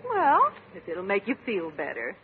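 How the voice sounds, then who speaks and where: A woman's voice: medium pitch, huge pitch swings, moderate pace, a little hiss or hum. An actress playing a gracious, sweetly polite lady on a date in an old-time radio comedy. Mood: politely concerned. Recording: tinny vintage radio recording.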